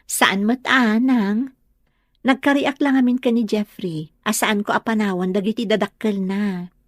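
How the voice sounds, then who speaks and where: A woman's voice: medium pitch, steady tone, measured pace, no echo, studio quality, close to an everyday voice. A woman playing a dutiful, meek young daughter-in-law, deferential and obliging, in an Ilocano audio drama. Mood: reassuring.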